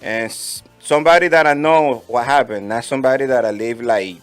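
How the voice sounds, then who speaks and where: A man with a Dominican accent, co-hosting a casual community talk show, excitable and given to repeating his phrases. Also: mid-range voice, fairly quick, clean tone, soft background music. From Dominican Republic. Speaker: co-host. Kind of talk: casual chat.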